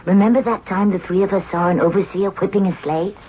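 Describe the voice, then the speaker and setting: A woman's voice: mid-range voice, fairly even intonation, quick pace, lightly acted. Woman acting a strong-willed young princess, muffled recording. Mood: soothing, frank. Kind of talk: old-time radio drama acting. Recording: muffled radio recording with clear noise.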